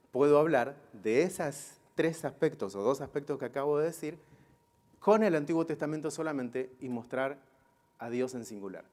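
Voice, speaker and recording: A man's voice: a little high, a little mellow. Questioning male Bible teacher addressing a class. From Israel. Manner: didactic, questioning, often checking listeners follow. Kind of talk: teaching to a live class, asking rhetorical questions.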